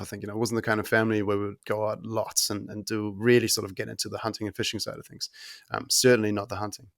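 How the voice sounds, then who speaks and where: A man in his thirties from Germany, whose accent mixes German and New Zealand, talking as a podcast guest in a relaxed, rambling way with many ums and restarts. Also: fairly low pitch, gravelly, no echo, clean studio sound. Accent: mix of German and New Zealand. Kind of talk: casual conversational interview.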